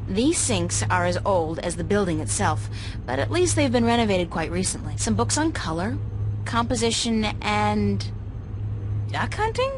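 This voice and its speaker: A woman's voice: a little high, noisy background. An actress playing a wry, thoughtful young female art student in a video game, talking in a conversational way. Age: young adult.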